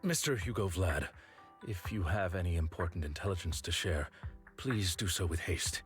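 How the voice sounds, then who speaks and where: A man's voice: low-pitched, expressive, moderate pace, soft background music. An actor playing a stern male wolf butler in a game, formal, stiff and courteous. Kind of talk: game cutscene acting.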